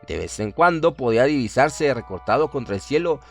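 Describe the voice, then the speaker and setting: A man's voice: medium pitch, expressive, a little fast, faint music behind. A man narrating a scripted horror story in a solemn, dramatic storytelling manner.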